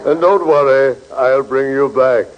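An actor playing a genteel, ghoulishly cheerful undertaker in 1940s radio comedy, formal and solemnly jovial. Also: male voice, mid-range voice, a little slow, lightly acted. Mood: mock jolly. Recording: muffled, faintly noisy 1940s radio recording.

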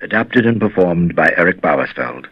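A man's voice: deep voice, animated, moderate pace, slightly rough, no room sound. A man giving a scripted closing announcement for a radio drama, on a muffled recording. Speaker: performer reading closing credits.

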